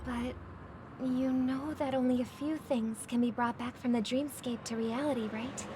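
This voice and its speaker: A woman playing a gentle, thoughtful famous singer in a video game, speaking politely and with measured care. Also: sits high, steady tone, noisy background, close to an everyday voice. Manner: measured, polite, soft-spoken. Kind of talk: scripted game voice acting.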